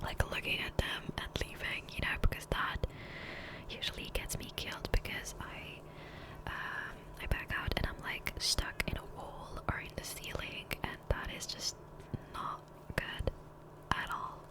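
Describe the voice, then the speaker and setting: A woman whispering softly and breathily in a chatty, rambling ASMR style over gameplay, close to a condenser microphone. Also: poor audio. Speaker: gaming ASMR creator. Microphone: close USB condenser microphone.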